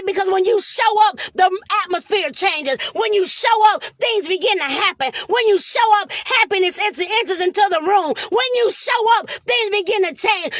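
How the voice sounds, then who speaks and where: Lady preacher, really high voice, fired up motivational talk, repeats phrases for emphasis, dry sound. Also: a bit bright, no echo. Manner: exhorting, emphatic, repetitive phrasing with rhetorical questions. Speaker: motivational preacher hosting a live stream.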